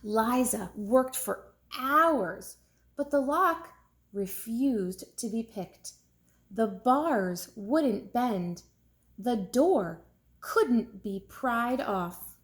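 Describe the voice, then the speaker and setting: A woman reading a storybook aloud like a teacher, expressive and deliberate for young listeners. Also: fairly high pitch.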